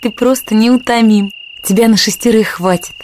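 Highish, tender young sweetheart acted by a woman. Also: normal speed, a little mellow, slight background noise, close to an everyday voice. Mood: teasing. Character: Marian, young, tender, playful outlaw's sweetheart.

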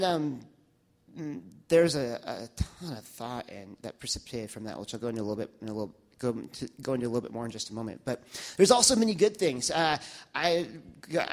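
A young man speaking to his church congregation, reflective and halting, with many restarts and fillers. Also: medium pitch. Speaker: church member sharing reflections.

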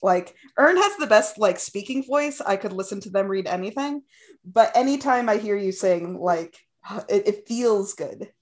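A woman's voice: medium pitch, lively intonation, measured pace. A woman in casual podcast chat who talks hesitantly and makes fun of herself, with many "um"s. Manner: self-deprecating, hesitant, frequent fillers.

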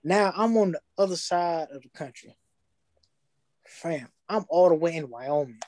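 A young man, telling his story in casual podcast chat, slangy and animated, acting out his past thoughts. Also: a little high, measured pace, no echo.

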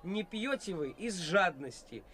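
An actor playing an ambitious, theatrical young stage actor in a 1980s radio play. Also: male voice, high-pitched, a little slow, breathy edge, heavily acted. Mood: scornful, declamatory. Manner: theatrical, expressive.

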